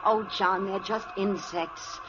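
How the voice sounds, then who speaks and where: A woman's voice: mid-range voice, steady tone, slow, noisy background, lightly acted. An actress playing an anxious, pleading woman in an old-time radio drama, emotional and full of exclamation. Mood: worried. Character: anxious, pleading woman trying to calm John. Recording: muffled vintage radio recording with music.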